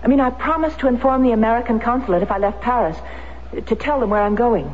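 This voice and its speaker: A determined, anxious war widow in a vintage radio drama played by a woman, speaking in a fairly high, earnest, emotional voice. The sound is muffled and clearly noisy. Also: quick pace, close to an everyday voice. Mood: flustered pleading. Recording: noisy, muffled old radio broadcast recording.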